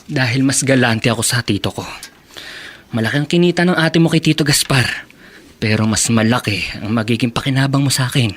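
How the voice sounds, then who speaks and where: A man's voice: mid-range voice, a little fast, fairly bright tone, natural voice. An actor playing an arrogant, predatory young man in a radio drama, with a taunting, insinuating manner. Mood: smooth, insinuating. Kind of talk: Tagalog radio drama acting.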